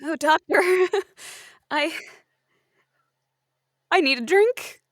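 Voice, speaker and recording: High-voiced woman, dry sound. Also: extremely slow, fairly bright tone, dry recording. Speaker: player in a roleplay podcast. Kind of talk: improvised comedy roleplay.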